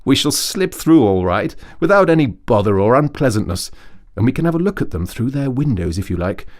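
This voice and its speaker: A man's voice: mid-range voice, big pitch swings, brisk, pitched above the performer's own voice, lightly acted. A man voicing a breezy, reassuring male rat from a storybook, hearty and coaxing.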